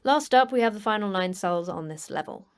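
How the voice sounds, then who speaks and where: Sci-fi podcast drama: actress as unflappable woman boss of a secret facility, brisk and dry, medium register. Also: mid-range voice, expressive, clear, resonant tone, close to an everyday voice. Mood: dry, deadpan. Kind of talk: audio drama acting.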